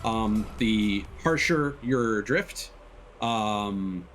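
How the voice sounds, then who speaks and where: A man giving casual, halting gameplay commentary as a live streamer, explaining as he plays. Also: lowish voice, a bit bright. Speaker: live streamer playing a racing game. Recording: game music behind the voice.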